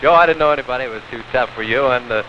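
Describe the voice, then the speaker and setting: A middle-aged American man conducting a 1950s television sports interview, halting and deliberate, on a handheld mic. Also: mid-range voice. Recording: dull, hissy old 16mm film sound.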